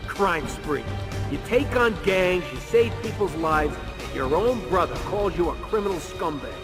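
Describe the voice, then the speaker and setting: A hot-headed turtle brawler with a gruff, slangy edge. His pitch runs high and jumps about, over a clear bed of game music. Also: expressive, measured pace. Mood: angry, taunting. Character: hot-headed, brooding young male turtle vigilante, tough guy. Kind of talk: video game cutscene acting and narration.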